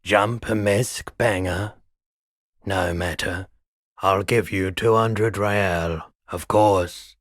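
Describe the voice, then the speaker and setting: Game role: unruffled, cryptic pawnbroker, deep-voiced, deadpan and unhurried, faintly mystical. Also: male voice, no room sound, clean studio sound, full character voice. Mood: calm, businesslike. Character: laid-back, philosophical male pawnbroker, cryptic and unruffled. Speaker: video game character voice. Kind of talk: scripted game dialogue.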